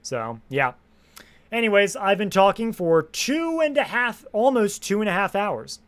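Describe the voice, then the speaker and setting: A young man chatting casually on a live stream, rambling and restarting his sentences, swearing freely, and a little hoarse after hours of talking. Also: high voice, big pitch swings, measured pace, fairly bright tone. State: slightly hoarse from long streaming.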